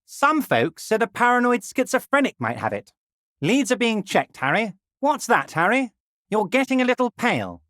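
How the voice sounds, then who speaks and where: An actor playing a smarmy, scheming, corrupt union boss in a video game, speaking in an oily, falsely friendly and patronizing way. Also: male voice, very high-pitched, big pitch swings, normal speed, fairly bright tone, no echo, studio quality, exaggerated voice. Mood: falsely reassuring. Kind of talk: theatrical video game character dialogue.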